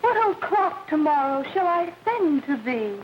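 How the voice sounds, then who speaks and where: A woman's voice: high-pitched, sing-song, unhurried. An actress playing a well-mannered woman of a wholesome household, in genteel, theatrical 1930s film style. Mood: romantic. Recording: muffled, roomy, hissy 1930s film soundtrack.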